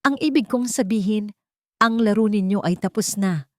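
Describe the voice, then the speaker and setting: A calm, wronged bride set on revenge in a melodrama story, performed by a woman narrator. She speaks deliberately, with cool defiance. The sound is dry and close. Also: medium pitch, no echo, pitched above the performer's own voice, lightly acted. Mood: cold defiance.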